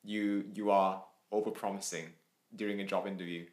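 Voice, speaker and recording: A young man with a fairly low voice talks through a casual podcast chat. He stumbles through fillers and repeats words as he thinks, laughing often. The room around him rings with echo. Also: level tone, normal speed, a little mellow. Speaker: podcast co-host. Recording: echoey room.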